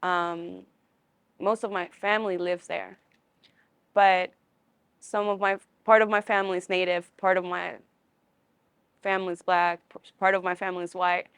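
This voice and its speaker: Hesitant woman with a smooth voice. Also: on the low side, flat delivery, moderate pace, pauses often, a bit bright, no room sound. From Colombia. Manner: hesitant, thinking aloud. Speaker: student interviewee. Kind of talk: interview answer.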